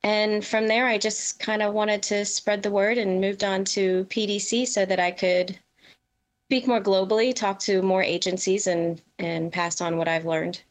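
A woman's voice: mid-range voice, little pitch movement, unhurried. A middle-aged woman answering questions as a guest in a conversational interview. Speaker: podcast guest, veteran emergency dispatch professional.

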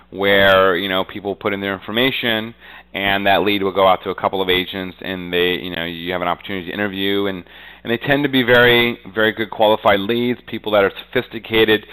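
A man with a low, smooth and resonant voice answers as a guest in a business interview. He talks conversationally, like an agent explaining his trade, and leans heavily on "you know" as he goes. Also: a bit bright, poor audio.

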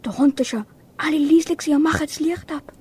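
A woman's voice: sits high, fast talker, darkish tone, close to an everyday voice. A young teenage girl playing a brave, helpful dog with an eager manner in an amateur radio play. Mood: eager, planning. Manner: eager, barks out woofs.

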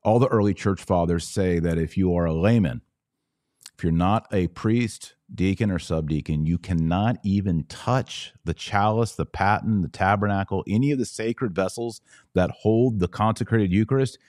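A man's voice: low voice, moderate pace, a little mellow, no echo, clean studio sound. A man hosting a religious podcast, talking casually and instructively straight to his online audience. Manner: conversational, didactic, addresses listeners directly. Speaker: podcast host.

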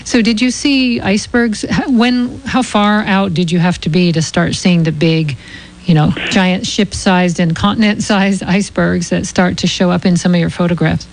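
A woman's voice: on the low side, fairly even intonation, normal speed, low-quality recording. A woman co-hosting a radio show, asking curious questions in an enthusiastic, casual way. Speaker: co-host.

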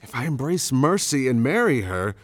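A man's voice: medium pitch, big pitch swings, normal speed, no room sound, lightly acted. An actor playing a troubled, earnest betrothed man torn by conscience, hesitant and anguished, in a biblical audio drama.

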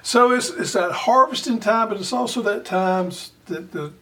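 A man hosting a garden radio show in casual chat, his voice slightly raspy as he recovers from illness. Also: high voice, moderate pace, bright, forceful tone. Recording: distant mic, faint noise.

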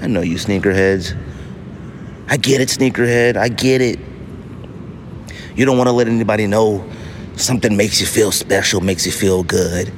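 A middle-aged American man hosting a casual solo podcast, telling stories in an animated, slangy, emphatic way. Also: lowish voice, moderate pace, pauses often, slight background noise. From United States. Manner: animated, slangy storytelling with mock voices for others; emphatic, with repetition for effect. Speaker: podcast host.

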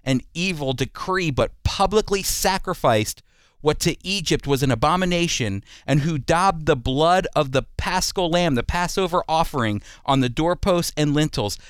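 A man giving a teaching sermon as a preacher, speaking deliberately and repeating phrases for emphasis. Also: fairly high pitch, clear, resonant tone, dry recording, studio quality.

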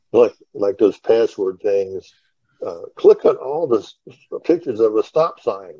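Man, middle-aged, lowish pitch, husky, informal storyteller, podcast host, dry close sound. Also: animated, takes it slowly, pauses often, mellow, dry recording. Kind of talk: casual reminiscing podcast talk. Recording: dull, lo-fi online call audio.

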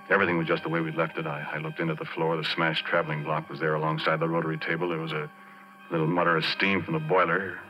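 An actor playing a haunted, plain-spoken oil rig roughneck, telling his story haltingly and colloquially in 1940s radio drama style. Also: low voice, background noise, lightly acted. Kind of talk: 1940s radio drama acting, first-person narration. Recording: muffled vintage 1940s radio recording, organ-era music.

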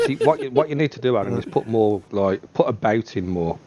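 A man's voice: lowish voice, expressive, fairly quick, dull audio, a loud crowd. A man from England co-hosting a casual podcast, teasing the others wryly and laughing often. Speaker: podcast co-host.

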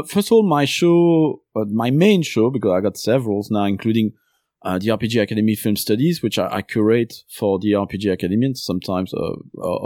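A man from Belgium with a Belgian French accent, speaking English as a second language in a relaxed interview. His voice is dark and mellow, and the recording is close and dry. He rambles at length, peppered with uh. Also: medium pitch, no echo. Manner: talks at length, many uh fillers. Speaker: podcast host and game designer guest. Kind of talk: casual interview chat.

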